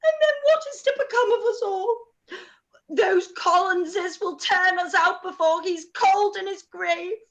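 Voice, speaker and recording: A woman's voice: extremely high pitch, unhurried. A woman playing a hysterical, self-pitying middle-aged mother in a period radio play, wailing and gasping melodramatically. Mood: tearful panic. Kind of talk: live-read radio play acting of period drama.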